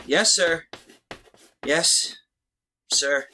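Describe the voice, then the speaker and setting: Man acting high-voiced, flustered young helper boy. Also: drawn-out words, pushed and bright, a little hiss or hum, heavily acted. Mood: meek, obedient. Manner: hesitant, stammering, pleading. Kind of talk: retro 1940s-style radio drama acting.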